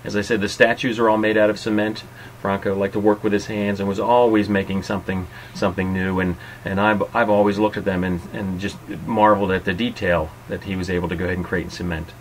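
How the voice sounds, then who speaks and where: A man's voice: on the low side, flat delivery, fast, soft background music, rough recording. A middle-aged man hosting and narrating an informal guided tour. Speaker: host and narrator of a restaurant tour.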